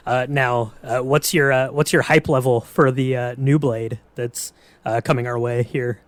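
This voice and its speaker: A man's voice: mid-range voice, fairly even intonation, a little slow, no room sound. A man hosting a podcast, asking questions and chatting in a casual interview style. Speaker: podcast host.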